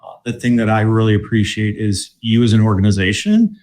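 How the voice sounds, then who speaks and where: A man from Michigan speaking as a guest in a casual interview, relaxed and thoughtful, with many fillers and restarts. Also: on the low side, fairly quick. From Michigan, USA. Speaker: guest, corporate mobility director.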